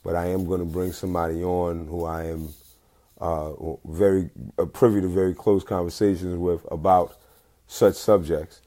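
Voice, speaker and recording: A man with a very low voice hosts a casual live talk show, talking into his phone. He rambles loosely, full of fillers and restarts. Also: little pitch movement, normal speed, mellow. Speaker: host.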